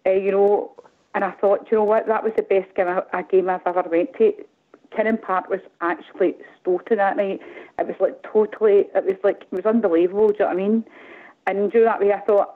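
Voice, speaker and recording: A middle-aged woman with a Glaswegian accent calling in, rambling and animated, often asking "do you know what I mean". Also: medium pitch, brisk. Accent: Glaswegian Scottish. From Glasgow, Scotland. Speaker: caller. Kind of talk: casual phone-in chat. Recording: tinny phone line.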